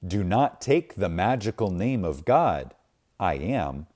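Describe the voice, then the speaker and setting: A man reading a spiritual book aloud in a solemn, reverent manner, with deliberate pauses and emphasis. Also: low voice, very animated, darkish tone. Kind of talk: reverent scripted reading, audiobook-like.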